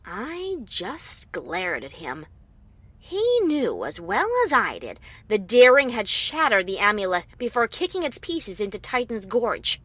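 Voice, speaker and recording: A woman playing a wry, defiant adventurer heroine, narrating her story in the first person with expressive, dramatic delivery. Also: medium pitch, a little slow, slightly rough, no echo, heavily acted. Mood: wry, tense.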